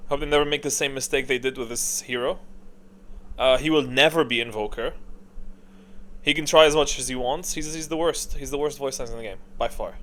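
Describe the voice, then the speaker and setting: A man's voice: medium pitch, fairly bright tone. A man giving casual, opinionated ranking commentary as a gaming video creator, rambling along in emphatic, slangy language.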